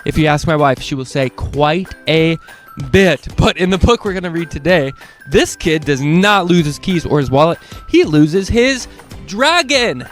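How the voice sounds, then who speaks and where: A man hosting a children's read-along, reading picture books aloud in an animated way and speaking directly to young listeners. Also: fairly high pitch, a little slow, faint music behind.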